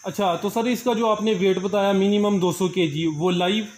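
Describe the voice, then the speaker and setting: A man's voice: sits high, fairly even intonation, normal speed, darkish tone, slight background noise. A man asks questions as an interviewer, politely and a little hesitantly. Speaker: interviewer, channel host. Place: cattle farm.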